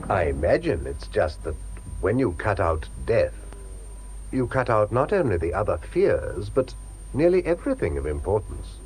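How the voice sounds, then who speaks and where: A grave, earnest British man in a radio drama, with clear background noise. His voice is very deep and he speaks slowly and haltingly. The pitch rises and falls dramatically as he goes. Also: sing-song, a little mellow, low-quality recording. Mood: reflective. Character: grave, hesitant male former patient, gently earnest.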